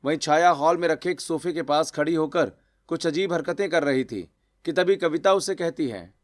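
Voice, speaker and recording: A man narrating a scripted family story for an animated video. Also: a little high, normal speed, dry recording, studio quality.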